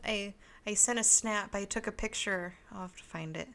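Woman, podcast co-host, bright tone, animated anecdotes with acted-out lines. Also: mid-range voice, moderate pace. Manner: animated storyteller, acts out what she says, laughs a lot. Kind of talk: casual chat.

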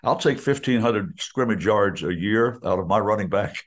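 A man's voice: on the low side, animated, moderate pace. An older man, a veteran radio announcer, chatting in a folksy, enthusiastic way and often restarting his sentences. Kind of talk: casual chat on a radio show.

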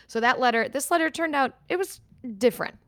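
A woman's voice: sits high, fairly quick, dry recording. Candid woman podcast co-host, dry sound. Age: 30s. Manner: candid, talks in starts and restarts. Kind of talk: casual chat and reading a letter aloud.

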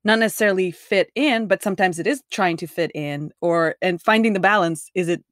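A woman's voice: on the low side, brisk, no room sound, studio quality. A woman hosting an interview, speaking thoughtfully and hesitantly as she searches for words. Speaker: interviewer, host. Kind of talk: casual conversational interview.

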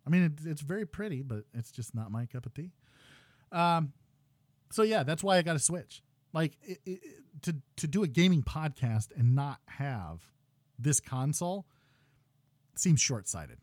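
A man in his thirties hosting a gaming podcast, ranting loudly with exasperation while losing his voice. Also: on the high side, lively intonation, a little slow, no echo. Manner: loud exasperated ranting, swings to quiet muttering. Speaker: podcast host.

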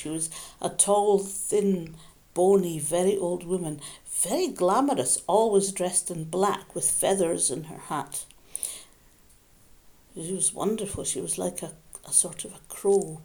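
An older woman, gently reminiscing in conversation and often restarting her sentences. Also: fairly low pitch. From Scotland. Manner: gentle, reflective, with frequent restarts. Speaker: interviewee, mother.

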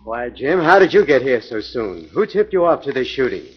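Man playing a gruff police inspector. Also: mid-range voice, big pitch swings, normal speed, loud music behind the voice, lightly acted. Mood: matter-of-fact. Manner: matter-of-fact, gruff. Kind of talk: 1940s radio acting. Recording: lo-fi 1940s radio recording.

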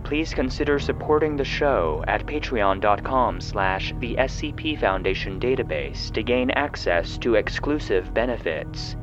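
Measured male narrator over prominent music. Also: medium pitch, expressive, dull audio. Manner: measured, formal announcing. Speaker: producer and narrator. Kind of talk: scripted narration, end credits.

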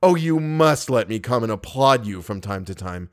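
A man playing a jealous, hot-headed young gentleman in an audiobook, declaiming with theatrical passion and bitter reproach. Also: mid-range voice, big pitch swings, normal speed, lightly acted. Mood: sarcastic. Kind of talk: audiobook character voice.

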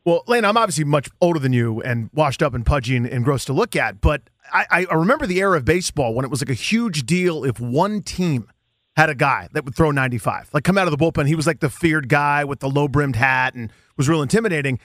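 Fast-talking, higher-voiced male radio host. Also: lively intonation, fairly bright tone, dry recording, studio quality. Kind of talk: casual, chatty interview. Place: close dry radio studio.

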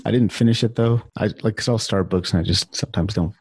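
A man hosts a casual group session in a low voice with plenty of fry. His delivery is loose and informal, and the sound is dry and close. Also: quick pace, dry recording. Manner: informal, loose, mild swearing. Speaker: host of open office hours.

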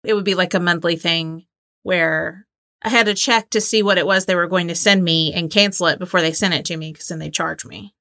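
A woman's voice: fairly low pitch, steady tone, a little fast, fairly bright tone, no room sound, clean studio sound. A woman in her thirties co-hosting a podcast in casual, conversational chat. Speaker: podcast co-host and trivia game player.

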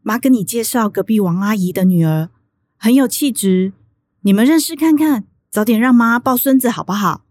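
A woman's voice: fairly high pitch, animated, normal speed, darkish tone, dry recording, clean studio sound. An actress with a Taiwanese Mandarin accent playing a worried, pleading mother of a grown son in a radio drama.

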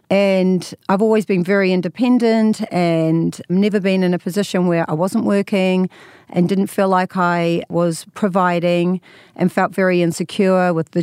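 A woman's voice: fairly low pitch, darkish tone, smooth, dry recording, studio quality. A woman in her forties with a New Zealand accent, a podcast guest telling a candid personal story in an open, reflective way. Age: 40s. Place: podcast studio.

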